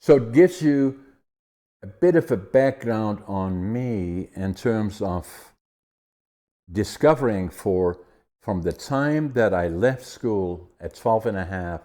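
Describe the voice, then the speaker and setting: An older man from the Netherlands with a Dutch accent, telling his life story in an interview with animated restarts. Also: lowish voice, slow pace. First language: Dutch dialect. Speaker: guest. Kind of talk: conversational interview.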